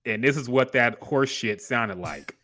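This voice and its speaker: A man's voice: medium pitch, lively intonation, a little slow, bright, forceful tone. A man hosting a casual chat, ranting bluntly and profanely about an old rap verse. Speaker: podcast host.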